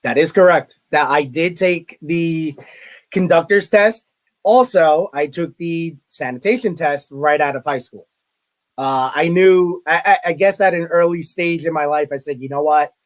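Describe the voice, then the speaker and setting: A man's voice: fairly high pitch, moderate pace. A middle-aged man with a Brooklyn accent, a guest chatting casually in an interview and often repeating his words. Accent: Brooklyn New York. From Brooklyn, New York. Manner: chatty, repeats words, self-deprecating asides. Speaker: guest, college media relations director.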